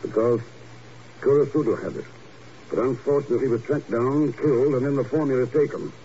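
Male actor as commanding spy, clipped, mellow tone, 1950s radio drama, muffled recording. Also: medium pitch, a little hiss or hum, natural voice. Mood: grave. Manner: clipped, deliberate, assured. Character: cool, commanding male secret agent.

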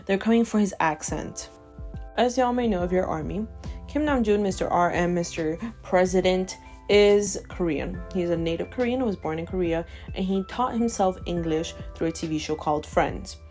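A woman in her twenties, from Ecuador with Spanish as her first language, hosts her own podcast and talks in a fired-up, emphatic rant. Her voice sits a little low for a woman. Faint chill music plays quietly behind her. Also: normal speed. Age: 20s. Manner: emphatic and animated, keeps asking "okay?". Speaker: podcast host. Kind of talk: casual ranting podcast. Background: faint chill background music.

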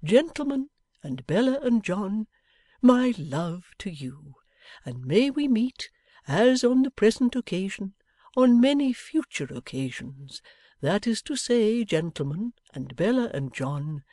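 A woman reading an audiobook, voicing a gentle, sentimental older father who speaks tenderly and a little ceremoniously. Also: mid-range voice, very animated, no echo, clean studio sound, voice pushed up. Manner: tender and ceremonious, slow and tremulous.

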